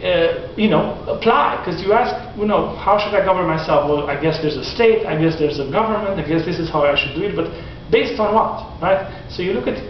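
A man in his forties giving a classroom lecture, animated and gesturing, often restarting his sentences. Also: high-pitched, brisk, few pauses, slight background noise. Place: classroom in front of whiteboard. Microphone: far camera. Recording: echoey classroom from far off, dull lo-fi.

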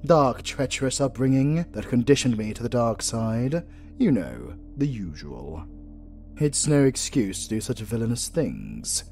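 A man's voice: fairly low pitch, creaky, darkish tone, heavily acted. A British man playing an arrogant, flirtatious supervillain in a romantic comedy audio roleplay, theatrical and easily exasperated. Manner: theatrical, swinging from slow menace to exasperated outbursts. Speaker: voice actor.

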